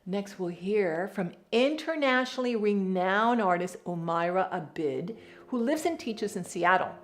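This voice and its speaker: Woman, documentary narrator, scripted delivery, average pitch, light music bed. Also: expressive, measured pace.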